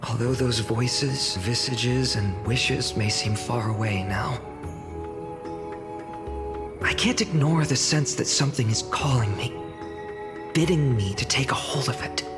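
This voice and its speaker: A man's voice: medium pitch, measured pace, long pauses, lightly acted. A man playing an earnest, doubtful young village hero in a fantasy video game, with a hesitant, musing delivery. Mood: solemn, musing. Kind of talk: English video game voice acting. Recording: long hall reverb under music.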